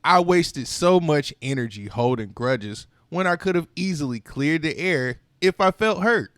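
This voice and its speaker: A man reading a children's picture book aloud, expressive and playful, with voiced sighs and exclamations. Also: fairly high pitch, measured pace, no echo. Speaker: storytime reader. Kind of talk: children's read-aloud narration.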